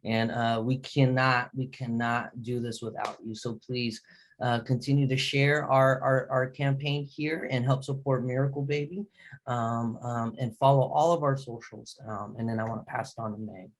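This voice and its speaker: A man hosting on a video call, speaking informally to supporters in a halting way. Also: medium pitch, fairly even intonation. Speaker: filmmaker, host of crowdfunding read.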